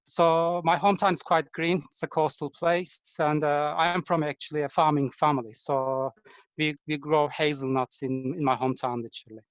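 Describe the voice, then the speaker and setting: A man from Turkey with a Turkish accent, a design engineer giving a halting, friendly online presentation full of fillers over a video call. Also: fairly high pitch, fairly even intonation, dry recording, rough recording.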